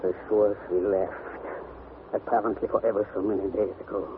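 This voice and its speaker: An actor playing an excitable, obsessive older German professor in a theatrical 1960s radio drama style. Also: male voice, on the low side, fairly quick, lightly acted. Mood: bitter despair. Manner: theatrical, declamatory, exclaiming. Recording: tinny, noisy vintage radio recording.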